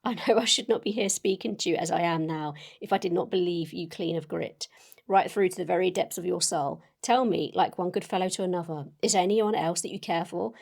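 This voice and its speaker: Young male suitor woman performer: folksy, sincere, plucky, plain-spoken, gravelly fry on a lowish voice, storybook drama reading. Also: brisk, a little mellow. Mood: sincere, manly.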